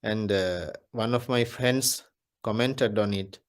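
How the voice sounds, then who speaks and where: A Buddhist monk giving a Dhamma talk, speaking deliberately and repeating his key phrases. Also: fairly low pitch.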